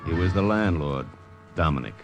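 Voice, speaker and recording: A man's voice: a bass voice, lively intonation, normal speed, creaky, heavily acted. An actor playing a terse, hard-boiled wartime agent who narrates his own story in an old-time radio drama. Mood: tense narrating. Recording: old radio recording with music behind.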